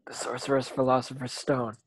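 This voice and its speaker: A man's voice: mid-range voice, flat delivery, brisk, lightly acted. A man playing an earnest, brave schoolboy wizard in an amateur screenplay table read. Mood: puzzled, uneasy.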